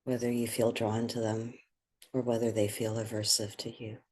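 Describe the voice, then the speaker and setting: A person guiding a meditation in a soft, breathy voice, speaking gently and soothingly with unhurried pauses. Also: mid-range voice, completely flat delivery, a little hiss or hum. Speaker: meditation guide. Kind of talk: guided meditation.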